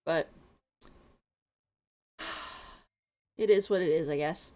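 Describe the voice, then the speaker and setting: A woman's voice: low voice, slow, mellow. A woman streaming a game, chatting casually and rambling, laughing often as she talks. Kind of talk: casual live-stream chat.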